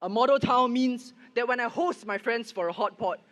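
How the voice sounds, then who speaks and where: A man's voice: very high voice, normal speed, fairly bright tone. A young man giving an earnest, story-driven speech at a political rally. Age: young adult. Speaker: first-time voter speaking at rally. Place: outdoor rally with loudspeakers.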